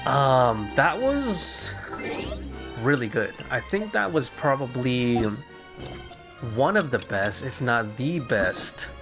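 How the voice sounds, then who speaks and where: A man's voice: medium pitch, big pitch swings, slow pace, music in the background. A man giving casual let's play commentary as a gaming streamer, rambling and thinking aloud.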